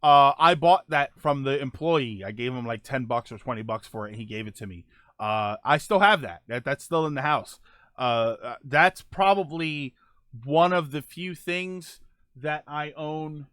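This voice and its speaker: A man's voice: a little high, a little slow, fairly bright tone, dry recording, clean studio sound. A man chatting casually as host of a live stream, rambling and joking as he answers viewers.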